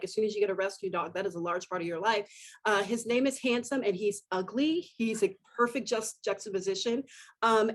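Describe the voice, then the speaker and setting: A woman, a guest on a podcast, chatting about herself in an enthusiastic, rambling way. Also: mid-range voice. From Texas. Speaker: podcast guest, communication professor. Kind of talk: casual podcast chat.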